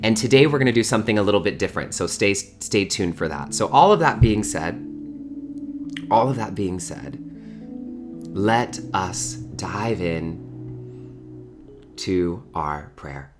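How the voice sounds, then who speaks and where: A man's voice: lowish voice, lively intonation, unhurried, long pauses, music in the background. A man in his early thirties hosting an informal online spiritual community gathering, talking effusively and repeating words for emphasis. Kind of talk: informal livestream talk to a community.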